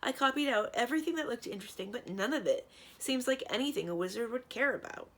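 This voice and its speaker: A woman voicing a sensible, practical young storybook heroine, brisk and wry, with a matter-of-fact, decisive manner. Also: fairly high pitch, lively intonation, voice pushed up. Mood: frustrated.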